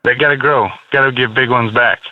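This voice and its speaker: A man's voice: mid-range voice, steady tone, fairly quick, no echo. A man calling in to a radio show, chatting casually in a friendly, enthusiastic way. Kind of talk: casual chat. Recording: lo-fi dry phone call.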